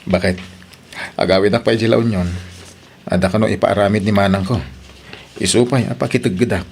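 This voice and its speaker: A man's voice: fairly low pitch, steady tone, brisk, darkish tone, soft background music, lightly acted. A male actor with an Ilocano accent playing a caring, nagging husband in an audio drama. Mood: matter-of-fact. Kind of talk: Filipino audio drama acting.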